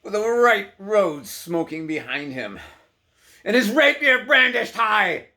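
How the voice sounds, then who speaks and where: A man giving a theatrical, intense dramatic recitation of poetry, swinging between hushed delivery and full-throated cries. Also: high-pitched, sing-song, slow. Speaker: poetry performer.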